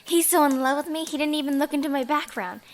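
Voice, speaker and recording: Woman playing an earnest, high-voiced young bride-to-be. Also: fast, close to an everyday voice. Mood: earnest, sorrowful. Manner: earnest, pleading, dramatic. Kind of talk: audio drama acting.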